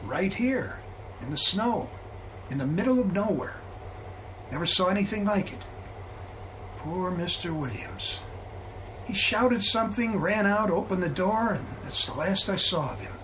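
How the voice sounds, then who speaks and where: A man's voice: high voice, expressive, a little slow, pauses often, fairly bright tone, a little hiss or hum, rough recording. An actor playing a plain-spoken, sympathetic train crewman in a radio drama, sombre and folksy. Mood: shaken, regretful.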